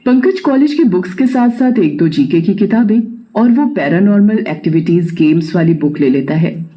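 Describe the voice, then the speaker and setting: A man's voice: high voice, expressive, moderate pace, mellow, poor audio. A man reading a scripted horror story as its storyteller.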